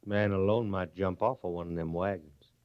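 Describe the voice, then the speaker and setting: An actor playing a defiant, needling prisoner turned private in an old radio western, with a folksy drawl. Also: male voice, sits low, steady tone, moderate pace, dry recording, natural voice. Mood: sullen, needling. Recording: muffled vintage radio recording.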